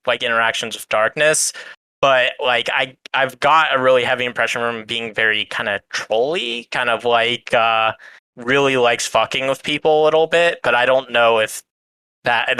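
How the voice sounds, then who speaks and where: Guy with a crisp bright voice, close dry mic, rambly earnest podcast chat. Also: mid-range voice, no echo, poor audio. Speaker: podcast co-host. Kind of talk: casual chat.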